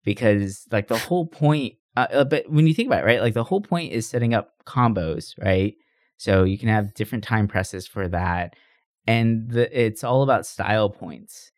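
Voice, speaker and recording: Male podcast co-host, lowish voice, dry close sound, informal and rambling gamer talk. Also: expressive, normal speed, darkish tone, no room sound. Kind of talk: casual gaming chat.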